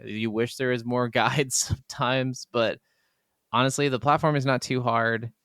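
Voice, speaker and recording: A man with a smooth, clear voice talks casually as a podcast co-host. He sounds informal and conversational, recorded close and dry with no room sound. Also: mid-range voice, fairly even intonation, measured pace, fairly bright tone, dry recording. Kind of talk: casual chat about video games.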